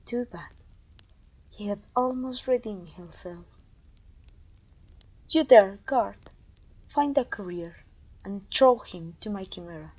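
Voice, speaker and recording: A woman playing a haughty, menacing evil sorceress queen in an ASMR roleplay, pompous and commanding, with a breathy voice. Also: medium pitch, low-quality recording. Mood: appraising. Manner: pompous, commanding, deliberate condescension. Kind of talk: ASMR roleplay voice acting addressed to listener.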